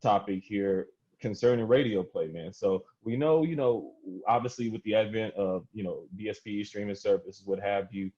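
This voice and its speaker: A man's voice: fairly low pitch, moderate pace, hardly any pauses, mellow, husky. A man hosting a podcast in a casual, rambling, slangy way as he leads the discussion. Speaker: podcast host. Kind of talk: casual hosting, introducing topics. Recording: dull lo-fi audio.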